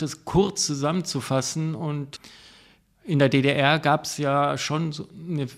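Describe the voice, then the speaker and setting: A man, a theatre critic and podcast guest, speaking thoughtfully and pausing with many fillers. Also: a little high. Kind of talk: podcast interview.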